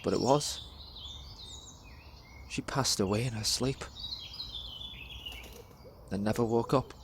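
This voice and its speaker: A young man performs a dramatic spoken word monologue in a measured, deliberate storytelling way, pausing between phrases. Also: medium pitch, creaky, background noise. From England. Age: young adult.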